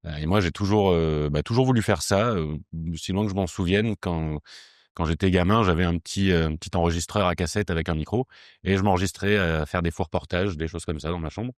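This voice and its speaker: Guy around 40 from south of france, really low clean voice, hesitant interview chat, stumbles back over words. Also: a little fast, no room sound, studio quality. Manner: hesitant, self-correcting, repeats words as they think. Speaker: podcast guest, communication agency director. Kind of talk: casual interview, recounting background.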